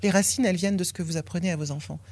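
A woman's voice: fairly low pitch, steady tone, rapid-fire, darkish tone. French woman in her 50s talking straight, blunt, gets fired up, no filter. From France. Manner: frank and blunt, swears, rising indignation. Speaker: interviewee, former athlete. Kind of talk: candid personal testimony.

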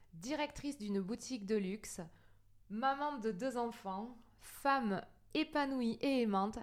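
A woman co-hosting a podcast, introducing a guest and putting questions to her. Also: a little high. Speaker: podcast co-host, salon founder.

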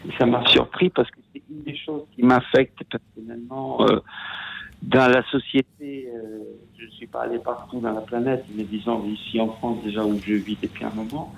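Italian-born male caller, gravelly, hesitant; tinny phone line. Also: medium pitch, steady tone. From Italy. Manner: hesitant, halting, many restarts. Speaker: radio caller, musician. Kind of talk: phone-in call to a radio show. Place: at home.

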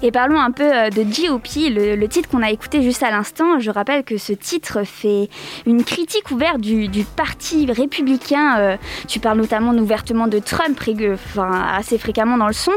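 High-voiced woman radio host. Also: moderate pace, soft background music. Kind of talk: radio interview questions. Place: radio studio.

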